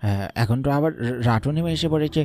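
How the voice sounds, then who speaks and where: A man's voice: mid-range voice, lively intonation, quick pace, a little mellow, a little hiss or hum. A man voicing several frightened village men in a horror cartoon, stammering often. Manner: stammering, fearful. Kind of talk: cartoon voice acting. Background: music.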